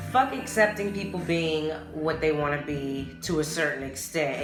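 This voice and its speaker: Podcast host: American woman, low register, blunt, opinionated, emphatic and conversational; echoey room sound with a clear music bed. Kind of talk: casual solo podcast talk. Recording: roomy echo, music behind the voice.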